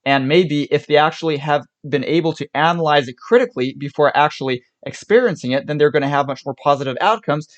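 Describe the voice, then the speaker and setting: A man's voice: a little high, fast talker, few pauses, muffled recording. A young man from Switzerland reacting to videos on a live stream, talking in rambling bursts with restarts and laughs. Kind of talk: casual reaction commentary.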